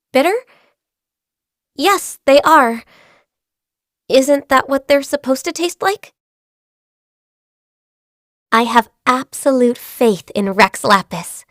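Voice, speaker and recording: An actress voicing a gentle, shy and diligent young female secretary in a video game, soft-spoken, earnest and a little hesitant. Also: high voice, dry recording, studio quality. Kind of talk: video game character voice lines. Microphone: close studio mic.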